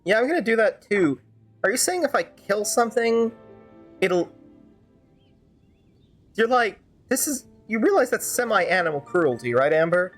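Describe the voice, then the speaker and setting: A woman's voice: mid-range voice, very animated, normal speed, pauses often, faint music behind. A woman streamer improvising fan-dub commentary over a game, sarcastic and rambling.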